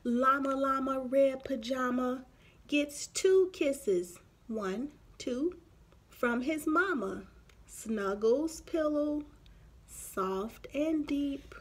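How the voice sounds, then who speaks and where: A woman in her thirties reading a picture book aloud expressively for young children, pausing for explanatory asides. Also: sits high. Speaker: children's story reader. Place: small room at home, indoors.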